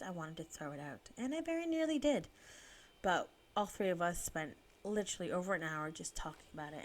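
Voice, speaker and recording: A woman in her thirties, a little sniffly from a cold, chatting casually and laughing now and then as she narrates. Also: fairly low pitch. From United Kingdom. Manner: chatty, laughs often, self-deprecating. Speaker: planner vlogger.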